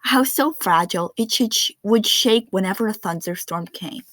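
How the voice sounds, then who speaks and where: A woman reading a children's picture book aloud in an expressive storytelling style. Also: mid-range voice, moderate pace, rough recording. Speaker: read-aloud narrator of children's books.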